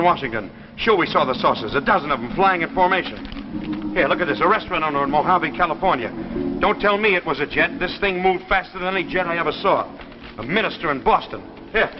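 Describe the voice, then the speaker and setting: A noisy vintage broadcast carries an intense American Army pilot, grounded and fixated on proving himself right. His voice sits a little high, emphatic and insistent, in an echoey 1950s drama sound. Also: dull audio. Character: earnest grounded Army pilot obsessed with proving flying saucers exist. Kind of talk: 1950s live television drama acting.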